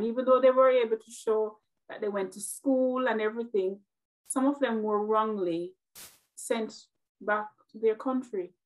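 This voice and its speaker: A woman's voice: mid-range voice, a little mellow, rough recording. Earnest Jamaican woman, reflective. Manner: earnest and reflective, repeats words as she thinks. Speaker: guest, nurse. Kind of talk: interview conversation.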